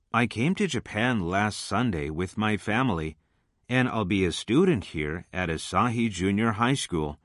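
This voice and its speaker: A man playing a friendly junior high school student in scripted English listening test material, enunciating carefully. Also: a bit bright, no room sound, clean studio sound. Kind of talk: scripted English lesson dialogue.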